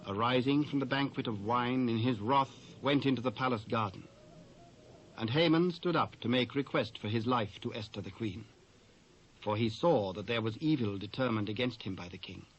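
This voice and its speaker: British guy narrating bible, mid-pitched voice, scripted reading, dull old cassette sound.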